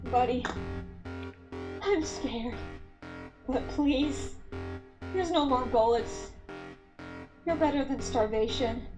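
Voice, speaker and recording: Woman acting tired guilty lady scientist in a radio play, high voice, takes her time, resigned and soft-hearted. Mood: scared, apologetic.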